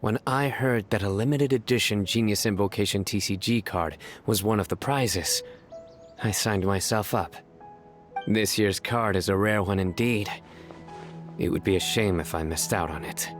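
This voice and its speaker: A man voicing a stern, deadpan young judge who speaks formally and loves bad puns. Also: lowish voice, normal speed, faint music behind, close to an everyday voice. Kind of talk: video game voice acting.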